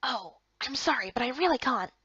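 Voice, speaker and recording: A woman voicing a gentle, mild-mannered male friend with a soft, kindly, apologetic way of talking, for a voiced story game. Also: high-pitched, lively intonation, moderate pace, husky, dry recording, dull audio, heavily acted.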